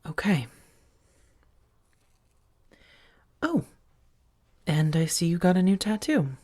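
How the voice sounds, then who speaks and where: Casting: woman to play protective female vampire roommate, low-pitched, soft and breathy, halting and earnest, intimate ASMR roleplay. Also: big pitch swings. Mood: worried, reproachful. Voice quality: soft, breathy, often dropping to a whisper.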